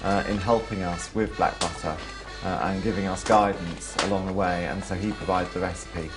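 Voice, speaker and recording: A man's voice: sits low, steady tone, moderate pace, over music. A man speaking hesitantly about an event he organizes, with many ums and uhs. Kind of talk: interview.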